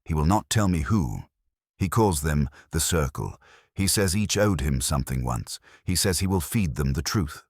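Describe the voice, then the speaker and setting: A man voicing an anxious, well-bred wife in a detective story, husky, earnest and polite. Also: very deep voice, sing-song, normal speed, no echo, studio quality, heavily acted. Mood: worried.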